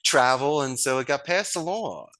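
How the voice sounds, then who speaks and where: A man's voice: medium pitch, normal speed, sharp tone. A man, a guest telling his business story with enthusiasm and folksy sayings. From Northeast Philadelphia, USA. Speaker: guest, call center founder. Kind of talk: casual interview talk.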